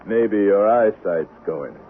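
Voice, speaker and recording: Deep, taunting Western avenger. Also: male voice, lively intonation. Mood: taunting, eerie. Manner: measured, taunting, sardonic. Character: cool, vengeful Old West man, coldly triumphant. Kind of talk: 1970s radio drama acting. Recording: tinny, noisy vintage radio broadcast.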